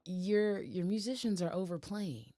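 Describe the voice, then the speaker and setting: A woman in her middle years from Portland, Oregon, with a deep, commanding voice, chatting expressively as an interview guest and often laughing. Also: animated, unhurried, mellow, dry recording, studio quality. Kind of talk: casual interview chat.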